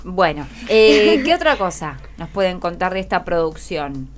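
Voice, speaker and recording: A woman's voice: on the low side, animated, moderate pace, soft background music. A woman with a Rioplatense Argentine accent, hosting a casual radio interview in an encouraging way and often checking in with short tag questions. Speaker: radio host interviewing schoolchildren. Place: radio studio. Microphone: close studio microphone.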